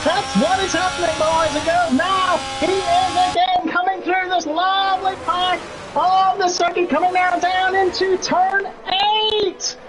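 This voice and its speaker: Brief: loud folksy Southern lawman, extremely high-pitched shouty race commentary, noisy recording. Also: level tone, a little slow. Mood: excited. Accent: put-on Southern US. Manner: shouty, folksy, bombastic drawl. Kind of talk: in-character sim racing commentary.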